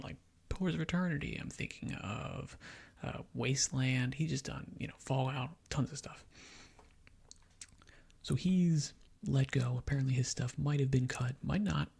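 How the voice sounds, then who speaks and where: A man speaking in soft, whispery ASMR style, giving rambling, halting gaming commentary as he thinks aloud. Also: medium pitch. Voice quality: whispery, breathy, crackly. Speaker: video game commentator.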